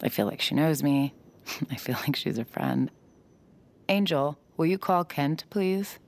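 An actress playing a young adult mind reader in an audio drama, narrating her thoughts quietly and reflectively, sometimes in a whisper. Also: deep voice, expressive, unhurried, gravelly, exaggerated voice. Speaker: mind-reading lead character.

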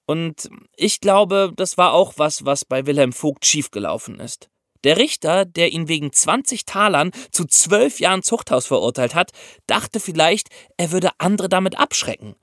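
A man's voice: on the high side, animated, normal speed, a bit bright, dry recording, clean studio sound. A man co-hosting a podcast for young listeners, explaining ideas step by step. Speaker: podcast co-host explaining law.

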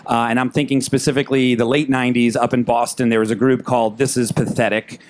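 A man's voice: medium pitch, level tone, fast. A man speaking on a panel as an improviser, reflective and hesitant.

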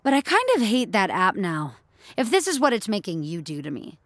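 A woman's voice: medium pitch, big pitch swings, a little fast, a bit bright, dry recording. A woman playing a grumbling, reluctant jogging friend in an audio story. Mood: grudging. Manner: reluctant, complaining.

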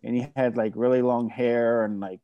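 A man's voice: mid-range voice, steady tone, moderate pace, smooth, no room sound, highs cut off. A man asking friendly, chatty questions in a casual group conversation. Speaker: podcast guest.

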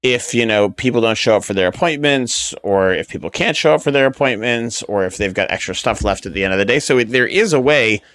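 A man in a casual podcast conversation as the comedian guest, joking and telling stories in an animated way. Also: medium pitch, fairly quick, few pauses.

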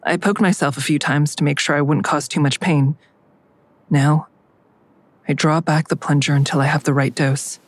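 Low-voiced, guilt-ridden daughter. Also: female voice, fairly even intonation, normal speed. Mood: tense. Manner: hesitant, confessional, plainspoken. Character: guilt-ridden grown daughter, hesitant, confessional, grimly candid. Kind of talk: audio drama acting with first-person narration.

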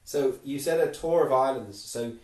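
A man's voice: mid-range voice, normal speed, darkish tone, roomy sound, recorded from a distance. A man interviewing a guest casually, asking loose questions and nodding along with short replies. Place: island nurse's surgery, small room.

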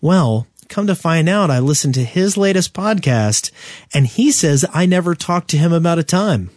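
A man's voice: on the high side, lively intonation, moderate pace, darkish tone, dry recording. Casual male podcast host, close dry sound. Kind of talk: casual chat about online gaming.

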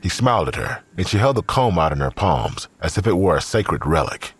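Deep raspy guy narrating audio drama, super husky, lively intonation, scripted storytelling. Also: fairly quick.

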